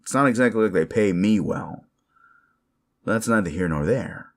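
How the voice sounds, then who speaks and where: A man's voice: lowish voice, expressive, normal speed. A man playing a sleazy, bullying werewolf office boss in an audio roleplay, condescending, cajoling and foul-mouthed as he berates the listener. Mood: condescending, exasperated. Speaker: voice actor performing a roleplay.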